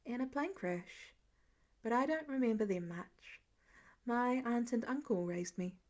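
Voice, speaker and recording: A woman playing a gentle teenage orphan boy who speaks softly, warmly and a little hesitantly. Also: fairly high pitch, expressive, measured pace. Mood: warm, calm. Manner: soft, warm, hesitant.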